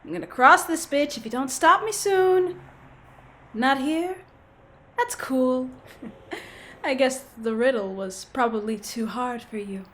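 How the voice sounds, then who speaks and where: A woman's voice: sits high, slow pace, long pauses, a bit bright. A woman voicing a cocky, foul-mouthed male villain in a comedic audio play, taunting and boasting in a hammy way. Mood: impatient, boastful.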